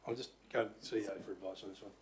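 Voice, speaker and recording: An Australian man chairs a council meeting in a croaky, fairly low voice. He hesitates often, full of fillers, but stays polite. A faint hum sits behind him in the chamber. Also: steady tone, very fast. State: croaky voice after illness.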